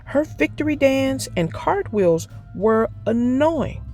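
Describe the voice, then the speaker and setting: A woman narrating a children's read-aloud as storyteller, expressive and deliberate. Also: high-pitched, soft background music.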